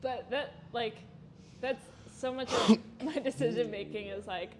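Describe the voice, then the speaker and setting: A woman's voice: on the high side, unhurried, darkish tone, slight background noise. A woman in her twenties from Chicago, a podcast guest talking casually with lots of "like" fillers and laughter. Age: 20s. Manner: chatty, laughing, frequent "like" fillers. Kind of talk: casual interview chat.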